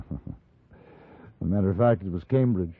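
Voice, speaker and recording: An actor playing a calm, polite English gentleman on the frontier in a 1950s radio drama, with an English accent and a measured, understated delivery. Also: deep voice, husky, full character voice. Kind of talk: 1950s radio drama acting and first-person narration. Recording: dull vintage 1950s radio recording, music behind.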